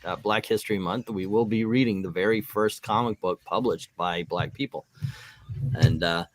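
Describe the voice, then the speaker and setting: A man's voice: lowish voice, moderate pace, no echo. Casual joking man hosting a group show. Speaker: host of a comic-book reading show.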